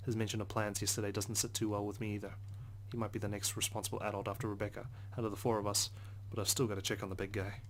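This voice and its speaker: A man reading visual novel narration aloud as a let's play host, subdued, with hushed asides. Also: fairly low pitch, level tone, very fast, few pauses, a little hiss or hum. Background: soft guitar music.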